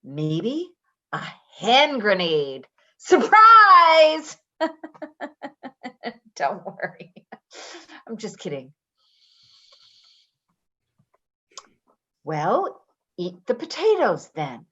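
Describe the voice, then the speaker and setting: An actress playing a brash, chatty, defensive caregiver in a naturalistic play reading, rambling and halting as she goes. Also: fairly high pitch, wildly swooping pitch, pushed and bright, lo-fi sound, heavily acted. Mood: irritated, needling. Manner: rambling, halting, with nervous laughter. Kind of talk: naturalistic readers theater acting.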